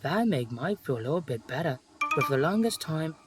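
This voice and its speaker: Storybook narrator, scripted delivery, medium pitch, music clearly behind. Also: sing-song, brisk.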